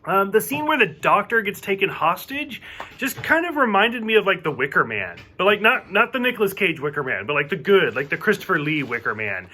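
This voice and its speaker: Man, high voice, bright and forceful tone, faint southern accent, rambling casual review, lots of fillers. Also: very animated, fairly quick, a little hiss or hum. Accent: light Southern US, mostly covered. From southern United States. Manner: chatty, rambling with many "like" fillers.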